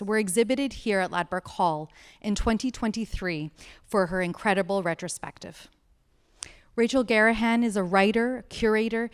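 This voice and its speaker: A woman hosting a gallery event, reading a formal, measured introduction to an audience in an event hall. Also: mid-range voice, smooth. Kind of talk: scripted introduction.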